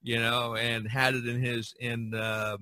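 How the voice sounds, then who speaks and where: A man's voice: medium pitch, flat delivery, unhurried, bright, forceful tone, no echo, poor audio. A man talking casually as a podcast host, telling stories in a rambling, discursive way. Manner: rambling, storytelling. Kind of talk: casual discussion of art history.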